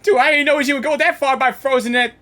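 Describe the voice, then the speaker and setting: A man's voice: very high voice, fairly even intonation, fast talker, pushed and bright, faint music behind. A man giving casual, informal reaction commentary as a gaming streamer, rambling and repeating himself.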